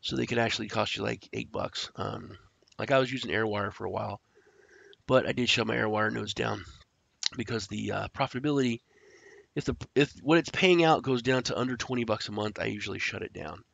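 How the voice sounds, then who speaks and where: A man who is sick and stuffed up, casually walking through a screen-share tutorial, rambling with fillers and clearing his throat. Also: lowish voice, a little fast, fairly bright tone, no room sound. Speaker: crypto tutorial host. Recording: dry, dull lo-fi audio.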